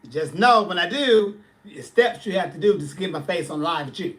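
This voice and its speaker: A man's voice: high voice, expressive, moderate pace, fairly bright tone. A man, chatting casually on a livestream and answering viewers' comments with sass. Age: 40s. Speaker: livestream host.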